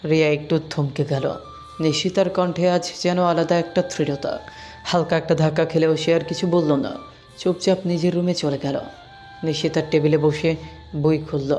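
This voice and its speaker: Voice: mid-range voice, a little mellow. A narrator reading a scripted love story, with music underneath.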